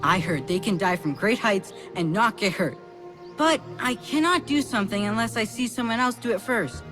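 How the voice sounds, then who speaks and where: A woman's voice: mid-range voice, expressive, moderate pace, music in the background, lightly acted. A woman voicing a bold, earnest young child who idolises heroes, in a video game cutscene. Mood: nervous.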